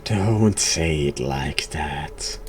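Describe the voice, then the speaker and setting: A man streaming a horror game, giving casual reaction commentary in a halting, dry deadpan way. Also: a bass voice, over music.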